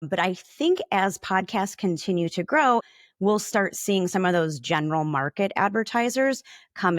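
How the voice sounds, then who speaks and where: A woman's voice: low-pitched, dry recording, clean studio sound. A woman speaking as an interview guest, explaining her industry in a conversational way. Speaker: podcast guest, advertising account manager.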